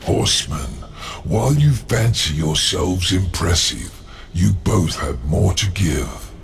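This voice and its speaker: A man voicing a grave, menacing ancient overseer spirit in a game cutscene, with a gravelly, slow and portentous delivery. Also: low voice, expressive, a little hiss or hum, full character voice. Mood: solemn, imperious. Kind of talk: video game cutscene acting.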